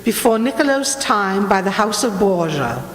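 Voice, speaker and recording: A woman's voice: mid-range voice, very animated, moderate pace, close to an everyday voice. A woman playing an imperious, mysterious Italian noblewoman in a stagey radio mystery drama, with a theatrical, commanding manner. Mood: mysterious, composed. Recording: echoey hall recording with background noise.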